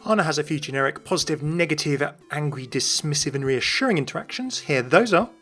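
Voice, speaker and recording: Higher-pitched man narrating a gaming video. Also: lively intonation, fast talker, fairly bright tone, soft background music. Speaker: video host. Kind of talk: scripted narration.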